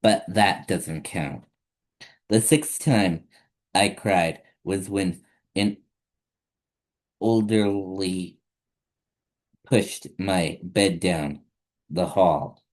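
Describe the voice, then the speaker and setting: Children's storytime reader: man, low register, unhurried delivery, read-aloud picture book narration.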